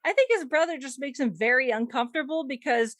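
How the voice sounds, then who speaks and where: A woman's voice: sits high, fast talker, fairly bright tone, no room sound, studio quality. A woman co-hosting a casual fan discussion, chatty and rambling, with lots of "like" and "you know". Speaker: podcast co-host.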